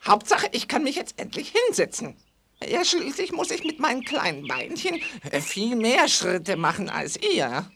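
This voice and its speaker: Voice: medium pitch, gravelly, noisy background. An actor playing a small, short-legged animal friend in a children's audio play, fussy, grumbling and given to dramatic sighs. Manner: animated, drawn-out sighs and exclamations.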